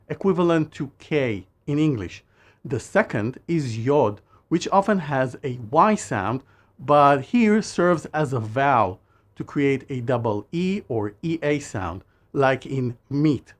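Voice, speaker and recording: A man who speaks natively, narrating a scripted language lesson in a measured way and slowing down for phrases. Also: mid-range voice. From Israel. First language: Hebrew. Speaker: language teacher.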